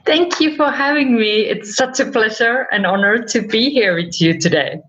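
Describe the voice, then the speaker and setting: A woman's voice: a little high, moderate pace, a bit bright, dull audio. A woman from Finland with a Finnish accent, telling her personal story as an interview guest. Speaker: interview guest, spiritual counselor.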